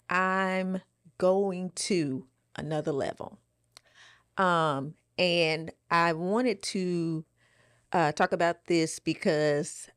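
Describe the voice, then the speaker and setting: A woman's voice: low voice, no room sound, studio quality. A woman co-hosting a podcast, giving an earnest, encouraging motivational talk. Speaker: podcast co-host.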